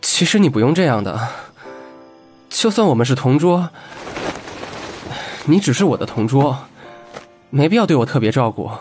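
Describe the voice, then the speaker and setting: A man's voice: medium pitch, very animated, normal speed, long pauses, a little mellow, faint music behind, close to an everyday voice. Reserved, aloof schoolboy played by a man. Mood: cold, rebuffing. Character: aloof, guarded, self-reliant high school boy. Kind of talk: Chinese audio comic drama acting.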